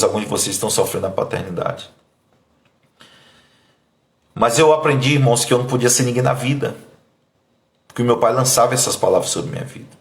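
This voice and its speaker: Pastor guy, 30s, preaching hard, pleading, echoey room. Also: creaky. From Bahia, Brazil. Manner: emphatic, pleading, voice dropping low and soft when emotional. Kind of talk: sermon with personal testimony.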